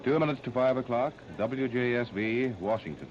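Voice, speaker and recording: 1930s American station announcer, dull old recording. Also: male voice, medium pitch, normal speed. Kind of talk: formal 1930s radio station identification. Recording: dull, hissy 1939 radio disc.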